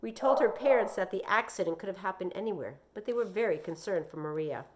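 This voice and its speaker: A woman reading a children's novel aloud, voicing a kindly, firm schoolteacher with a reassuring, measured manner. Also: medium pitch, expressive, crowd noise, close to an everyday voice. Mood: gentle, firm.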